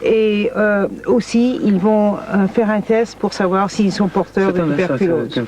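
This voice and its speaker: A woman's voice: medium pitch, measured pace, a little mellow, slight background noise. A woman interviewed outdoors as a wildlife expert, explaining in spontaneous speech and often searching for words. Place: outdoors in a national park.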